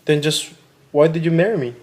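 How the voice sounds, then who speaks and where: An actor playing a fond, self-satisfied middle-aged husband in a radio play, with a mock-pompous, teasing manner. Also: male voice, a little high, brisk, a little mellow, natural voice.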